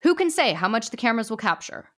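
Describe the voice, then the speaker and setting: A woman's voice: fairly high pitch, sing-song, fast talker, a bit bright, pitched above the performer's own voice. A woman voicing a pompous, stern male ghost knight who presides over a meeting like a judge, speaking formally. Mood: stern officious.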